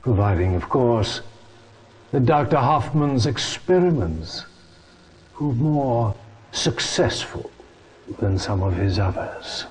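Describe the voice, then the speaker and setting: A man's voice: mid-range voice, animated, slow pace, long pauses, husky, low-quality recording. An actor playing a scheming, imperious male chairman, smug and formal, in a video game cutscene. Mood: smug, calculating.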